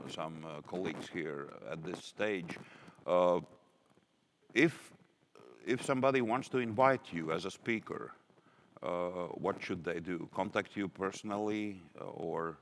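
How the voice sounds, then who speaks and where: A man moderating a conference Q&A, reading out audience questions in a halting way with many fillers. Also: sits low, a bit bright, slight background noise. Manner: hesitant, halting, full of fillers.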